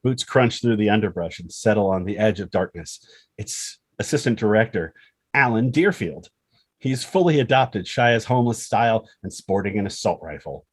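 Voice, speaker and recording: A man's voice: lowish voice, animated, normal speed, breathy edge. A man reading stage directions as scripted narration for a screenplay table read.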